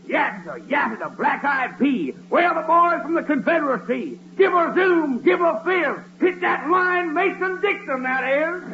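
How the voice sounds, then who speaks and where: An actor playing a blustering, boastful Southern senator with a Southern US accent and a bombastic, self-repeating delivery, in 1940s radio comedy style. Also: male voice, extremely high pitch, lively intonation, unhurried, few pauses. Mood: boastful, jovial. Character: blustering, booming Southern senator, proud Confederate loyalist. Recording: muffled, hissy old radio broadcast.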